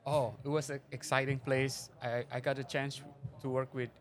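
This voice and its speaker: A man's voice: mid-range voice, flat delivery, moderate pace. Middle-aged man, hesitant guest. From Indonesia. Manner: hesitant, many fillers, non-native phrasing. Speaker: guest, venture investor. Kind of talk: interview answers. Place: conference forum venue.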